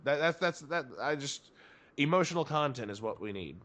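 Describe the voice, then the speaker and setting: Blunt man with a rough smoker's voice. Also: on the high side, lively intonation, moderate pace, a bit bright. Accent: faint Tennessee. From Tennessee. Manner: blunt, rambling, many restarts. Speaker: podcast guest. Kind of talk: casual profane chat. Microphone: podcast microphone.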